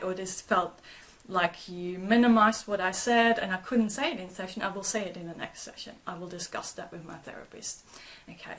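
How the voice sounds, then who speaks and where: Lady chatting on a live stream, soft and reassuring, keeps restarting her sentences, medium pitch. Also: rough recording. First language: German. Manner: gentle and reassuring, with frequent restarts and repeated words. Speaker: trauma therapist and channel host. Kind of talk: live-stream talk answering viewer comments.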